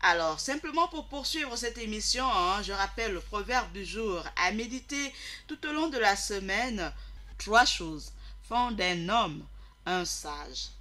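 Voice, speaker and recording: A man's voice: high-pitched, expressive, measured pace, sharp tone. A man presenting a radio show, teaching listeners directly and repeating key phrases for emphasis. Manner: didactic, addresses listeners directly. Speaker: radio host.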